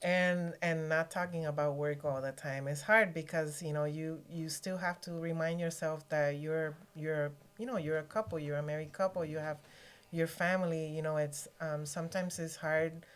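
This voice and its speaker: A middle-aged woman speaks casually as a guest in conversation. Her voice is a bit low for a woman. She is reflective and halting, restarting her thoughts as she goes. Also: steady tone, smooth. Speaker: podcast guest, realtor.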